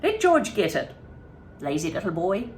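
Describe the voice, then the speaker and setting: A woman voicing a greedy, bossy, enormous old grandmother who shouts and scolds in a storybook reading. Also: on the high side, very animated, measured pace, a little hiss or hum. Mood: bossy shouting. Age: older. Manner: shouting, scolding, self-pitying.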